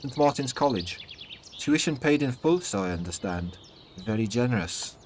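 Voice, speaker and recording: A man's voice: medium pitch, expressive, voice pushed up, close to an everyday voice. Man doing a guarded German huntress, noisy recording. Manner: measured, formal, neutral. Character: young-looking German huntress, two hundred years old, guarded, neutral. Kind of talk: fantasy audio story dialogue.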